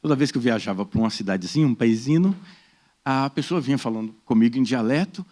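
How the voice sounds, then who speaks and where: Brazilian Portuguese accent, middle-aged man, lively up-and-down delivery, panel-talk storyteller who voices the people he quotes. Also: medium pitch, fast talker. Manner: animated storyteller, acts out quoted dialogue; frequent "né?" tags. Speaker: debate panelist. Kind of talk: personal talk at a panel debate.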